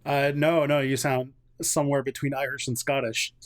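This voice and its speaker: A man's voice: mid-range voice, flat delivery, normal speed. A man in his thirties, a guest on a podcast, chatty and self-deprecating, laughing easily. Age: 30s. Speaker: guest, history content creator. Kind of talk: casual podcast interview.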